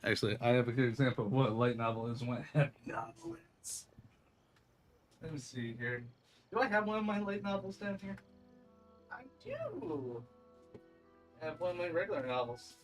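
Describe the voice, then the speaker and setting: A man's voice: mid-range voice, sing-song, normal speed, long pauses. A man streaming a video game, chatting casually in an informal, rambling way. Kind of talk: casual livestream chat over gameplay. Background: game music.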